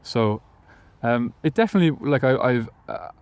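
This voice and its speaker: A man's voice: medium pitch, animated, normal speed, a little mellow, clear, resonant tone, no echo. A young man from Galway with an Irish accent, answering interview questions hesitantly with many fillers and restarts. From Ireland, Galway. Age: young adult. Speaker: musician guest.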